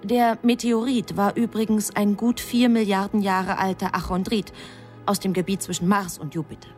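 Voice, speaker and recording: A woman's voice: mid-range voice, little pitch movement, moderate pace, a little mellow, soft background music, lightly acted. An actress playing a self-assured, scheming angel in a radio drama, telling her story in a composed, matter-of-fact way. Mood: cool, self-satisfied. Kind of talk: German radio drama acting.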